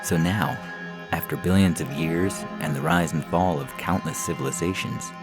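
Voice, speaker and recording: Super deep guy reading sci-fi story, lots of vocal fry, music playing behind him. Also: normal speed. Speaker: story reader on a fiction podcast. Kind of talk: scripted science fiction narration. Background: instrumental music.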